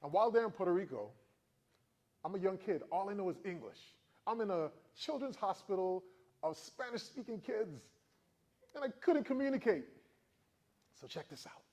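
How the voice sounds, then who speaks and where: A man from the Virgin Islands in his forties tells stories to a room of students, keeping them engaged with frequent questions. His voice sits a little high and swings with the story. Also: big pitch swings, normal speed, pauses often, a little mellow. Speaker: motivational speaker. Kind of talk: motivational talk to students.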